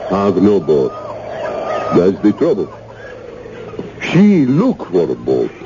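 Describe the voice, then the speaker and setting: A man's voice: sits low, wildly swooping pitch, slow, full character voice. An actor playing a big, sly, eerily genial middle-aged island man with a Caribbean accent in a 1970s radio drama, speaking in clipped broken grammar. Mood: ominous. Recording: muffled, noisy 1970s radio recording.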